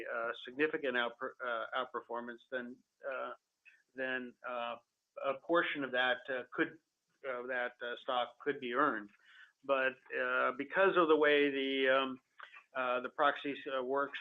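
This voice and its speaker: A man speaking as a company executive on an earnings call, answering in a halting, careful way with many repeated words, over a phone line. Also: medium pitch. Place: conference call. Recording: tinny telephone conference line.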